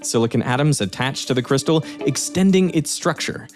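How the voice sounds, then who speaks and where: A man's voice: medium pitch, expressive, normal speed. A man with a mid-range voice delivers scripted science narration. He explains step by step, with faint music underneath. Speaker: documentary narrator. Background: background music.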